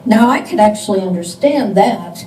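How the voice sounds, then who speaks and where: An older woman playing an indignant, suspicious elderly lady, deliberate and emphatic, in a readers theatre stage reading. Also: mid-range voice, expressive, dark tone, lightly acted. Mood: grumbling. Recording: distant mic in echoey room, background noise.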